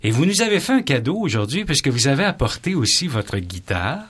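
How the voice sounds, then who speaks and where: This is a man hosting an interview in a broadcast studio, speaking. His pitch moves up and down in a lively way. He addresses his guest formally and courteously, in a conversational broadcast style. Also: a little fast, breathy edge. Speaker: host interviewing a musician.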